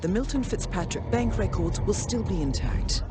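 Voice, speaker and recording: A woman playing a composed intelligence handler in a video game, delivering mission briefings in a measured, formal and precise manner. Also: medium pitch, lively intonation, loud music behind the voice, close to an everyday voice. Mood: formal, businesslike. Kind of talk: scripted mission briefing narration.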